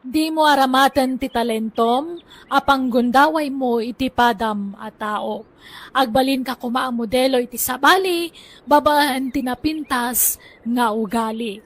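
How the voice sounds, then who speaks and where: High-voiced woman narrating drama credits. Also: a little slow.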